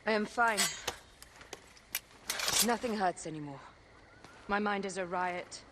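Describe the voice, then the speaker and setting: A woman's voice: mid-range voice, slow, noisy background, close to an everyday voice. An actress playing an embittered, driven ancient Egyptian queen, intense and imperious, in a video game cutscene. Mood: wounded bitterness.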